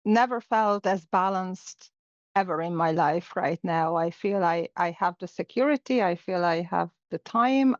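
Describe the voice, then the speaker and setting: A woman's voice: lowish voice, no room sound. A middle-aged woman from Hungary, a native Hungarian speaker, talking reflectively as an interview guest with many fillers and restarts. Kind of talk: casual interview conversation. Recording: dull, lo-fi sound.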